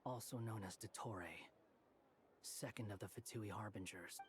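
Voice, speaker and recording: An actor voicing an aloof, sardonic young scholar in a video game, speaking in a dry, blunt, dismissive way. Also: male voice, on the low side, moderate pace, a little hiss or hum, close to an everyday voice. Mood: dry, brooding. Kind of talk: video game character dialogue.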